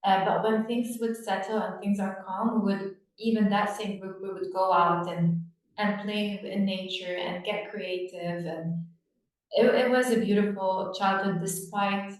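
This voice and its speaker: A woman in her thirties from Lebanon, a play therapist, speaking softly and reflectively with many pauses in a podcast interview. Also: medium pitch, steady tone. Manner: soft-spoken, reflective, with many pauses and fillers. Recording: echoey room, distant from mic.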